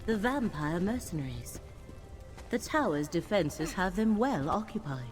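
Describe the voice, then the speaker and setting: A woman acting a solemn, mystical companion in a fantasy video game, speaking in a formal, measured, cryptic way. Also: on the low side, animated. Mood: wary. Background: game music.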